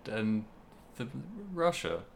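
A man's voice: lowish voice, big pitch swings, slow, vocal fry, a little hiss or hum. A man co-hosting a casual podcast about video games, talking in a rambling, jokey way. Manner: rambling, jokey, laughs often. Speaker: podcast co-host.

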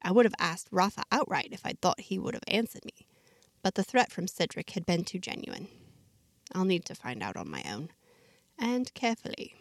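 A woman narrating a first-person story in a measured, reflective, diary-like way, ending with a scripted sign-off. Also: lowish voice, big pitch swings, gravelly, dry recording, studio quality.

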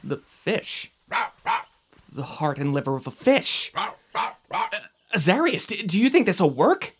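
An actor playing an earnest, excitable young male traveler in an audio drama, expressive and sometimes hesitant. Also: high-pitched, measured pace, slightly rough, heavily acted. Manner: expressive, wide-eyed. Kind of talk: scripted audio drama acting.

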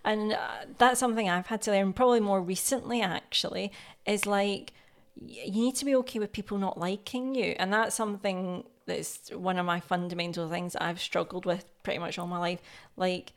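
A Scottish woman co-hosting a casual podcast about business. She talks in a chatty, reflective way at a middling pitch and keeps backing up her partner with short sounds of agreement. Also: fairly even intonation, a little fast. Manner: chatty, reflective, affirms often. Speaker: podcast co-host.

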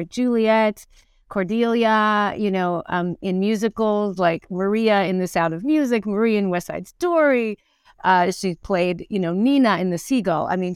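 Woman in her 40s chatting on a podcast, smooth clear voice, kinda halting and thoughtful, no room sound. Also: medium pitch, dry recording, clean studio sound. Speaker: actor and singer, podcast guest. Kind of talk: casual interview conversation.

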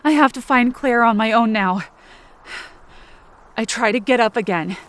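Woman acting high voiced anxious injured lady stuck in the wilds, telling it in short halting bits, fiction podcast drama. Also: a bit bright, natural voice. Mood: strained, determined. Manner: introspective, short halting phrases, present-tense narration. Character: anxious, self-blaming injured woman trapped in the wilderness. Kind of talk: dramatic first-person audio drama narration.

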